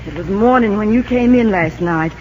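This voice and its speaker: A woman's voice: medium pitch, normal speed, darkish tone, lightly acted. A woman acts an older country mother in vintage radio drama. She is tart, plain-spoken and fondly scolding. The old recording is dull, with faint noise. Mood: incredulous, scolding. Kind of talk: 1950s radio drama acting.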